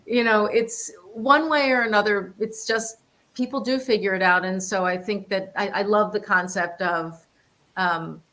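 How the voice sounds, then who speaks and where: A woman's voice: medium pitch, steady tone, a little slow, fairly bright tone. A woman on a panel adding her view in a candid, informal, conversational way.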